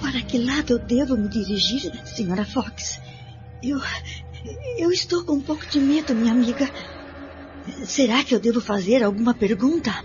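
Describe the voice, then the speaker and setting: A high-pitched, timid lady played by an actress in a radio drama, hesitant and fearful as she stammers out her words. The recording is dull, with music clearly playing underneath. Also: animated, normal speed, lightly acted. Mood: nervous, fearful. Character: timid, frightened lady questioning a spirit.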